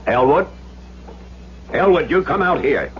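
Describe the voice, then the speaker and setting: A menacing businessman in a radio play, accusing and domineering, his pitch swinging with anger. The recording is dull old radio with a faint hum. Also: sing-song, a little slow. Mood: angry, threatening. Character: angry, menacing businessman George confronting an employee. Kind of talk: radio drama acting.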